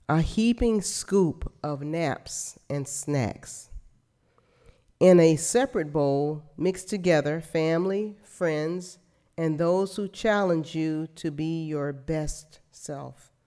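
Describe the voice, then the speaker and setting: A woman who serves as worship leader, reading aloud at a church service and introducing a speaker, measured and with a light wry aside. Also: deep voice, darkish tone.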